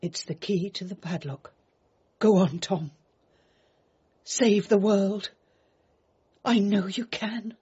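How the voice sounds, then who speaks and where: An actress playing a tender, apologetic middle-aged mother with a very husky, breathy voice, speaking hesitantly in a radio drama. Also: mid-range voice, slow pace, long pauses. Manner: hushed, hesitant, gentle. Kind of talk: radio comedy drama dialogue. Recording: phone-like muffled radio recording.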